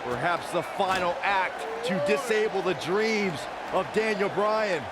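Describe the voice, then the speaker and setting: Earnest, high-voiced male wrestling commentator. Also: a little slow. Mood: earnest, tense. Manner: earnest, dramatic. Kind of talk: sports commentary. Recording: loud arena crowd noise and slams.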